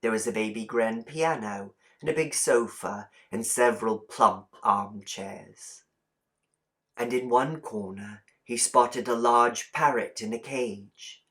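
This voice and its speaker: A man's voice: mid-range voice, slow pace, a bit bright. Man narrating an audiobook story expressively. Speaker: audiobook reader.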